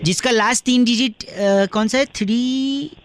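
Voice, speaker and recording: A man making a comic prank phone call, with cheeky deadpan salesman patter that teases the person on the line. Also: very high voice, measured pace, a little hiss or hum. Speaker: prank caller, radio jockey.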